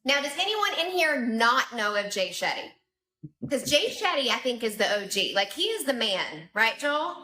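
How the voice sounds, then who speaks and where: A woman's voice: fairly high pitch, very animated, moderate pace, sharp tone. A woman with a Southern American accent hosting a live interview, upbeat and bubbly, speaking straight to her audience over a video call. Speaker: podcast host.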